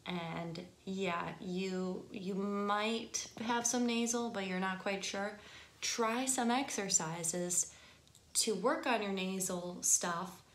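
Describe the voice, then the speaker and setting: A woman's voice: mid-range voice, slow, a bit bright, slight background noise. A woman from the northern United States with an Upper Midwest accent and a nasal voice, chatting casually as a singing teacher and laughing easily. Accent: Upper Midwest American. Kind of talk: casual instructional talk to camera. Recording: echoey room.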